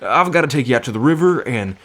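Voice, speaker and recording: A man's voice: mid-range voice, expressive, quick pace, fairly bright tone, natural voice. Man acting as a folksy, friendly half-bull man. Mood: warm, folksy. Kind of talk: ASMR roleplay, acted speech to the listener.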